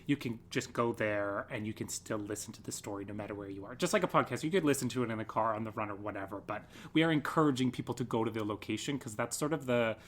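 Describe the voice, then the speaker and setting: A man's voice: lowish voice, fast, few pauses, a little hiss or hum. A man chatting informally as a podcast guest, explaining things with enthusiasm. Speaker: podcast guest, theatre creator. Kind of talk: casual interview chat.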